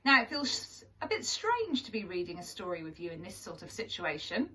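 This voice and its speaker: A woman reading a picture book aloud to young children as a teacher, in an expressive storytelling manner with deliberate pauses. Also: fairly high pitch. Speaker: primary school teacher reading to pupils. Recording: distant microphone.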